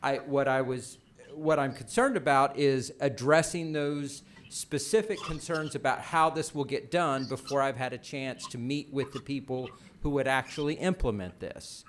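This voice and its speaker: A man with a mid-range voice talks through policy in a formal meeting. He is measured and bureaucratic, often restarting his phrases as he works out his wording. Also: medium pitch, a little hiss or hum. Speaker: official presenting a policy at a commission meeting. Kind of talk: formal meeting discussion, unscripted.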